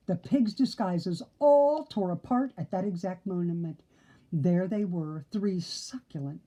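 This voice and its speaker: Slow, husky, animated woman storyteller. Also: mid-range voice, lo-fi sound. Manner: theatrical, playful emphasis on sound words. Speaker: storybook reader. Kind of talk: expressive children's story read-aloud.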